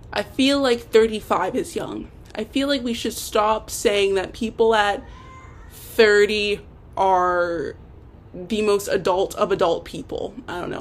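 A young woman hosting an unscripted solo podcast, talking in a rambling, hesitant, self-deprecating way. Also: medium pitch. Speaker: podcast host.

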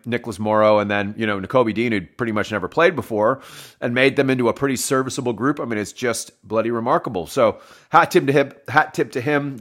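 A man reviewing a football game on his own, hoarse and wheezy, coughing and correcting himself as he talks to his listeners. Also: medium pitch, fairly bright tone. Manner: rambling, self-correcting, addresses listeners as folks. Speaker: sports podcast host.

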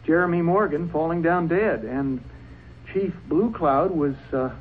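An actor playing a nervous young man giving halting, stammering, deferential testimony in an old-time radio drama. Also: fairly high pitch, natural voice. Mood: nervous, unsure. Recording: tinny, noisy old radio broadcast.

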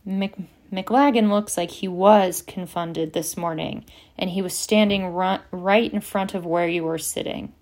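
A woman's voice: lowish voice, fairly even intonation, unhurried, hardly any pauses, a little mellow, natural voice. Wry teen boy voiced by a woman narrator, understated, audiobook. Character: teenage schoolboy, wry. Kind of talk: audiobook character reading.

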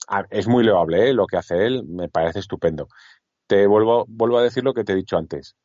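A man talks in a very low voice, rambling and repeating words as he chats casually. The sound is dry and somewhat dull, lo-fi. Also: a little mellow, dry recording. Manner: chatty, repeats words, many fillers and restarts. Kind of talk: casual chat.

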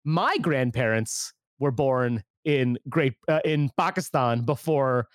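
A man's voice: medium pitch, lively intonation, slow, dry recording, studio quality. A man hosting a casual podcast, chatting loosely with plenty of fillers and restarts. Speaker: podcast host.

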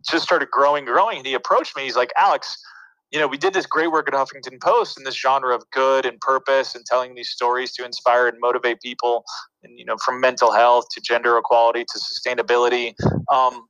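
Bright-voiced man, dry lo-fi sound. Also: medium pitch, flat delivery, fairly quick, dry recording. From New York City and New Jersey. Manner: rambling, reflective, frequent fillers and restarts. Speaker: interview guest, media producer. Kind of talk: casual conversational interview.

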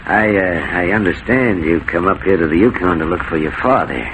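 A deep-voiced frontier swindler played by a man, folksy and conniving in the style of 1940s radio drama. Clear background noise from an old broadcast surrounds him. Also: normal speed, natural voice. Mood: falsely helpful. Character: scheming, smooth-talking frontier swindler, bossy to henchman. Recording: noisy vintage radio recording.

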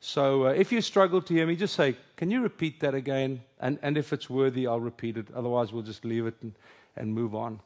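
A man's voice: medium pitch, a little fast, darkish tone. A middle-aged man with an Afrikaans accent, whose first language is Afrikaans, gives a conference sermon and leads a prayer, joking and then earnest. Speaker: preacher.

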